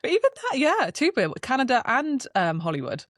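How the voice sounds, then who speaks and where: A woman's voice: a little high, huge pitch swings, a little fast, fairly bright tone, dry recording, studio quality. A British woman as a podcast guest, telling stories in an earnest, reflective way. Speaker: guest, brand strategist. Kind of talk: casual podcast chat.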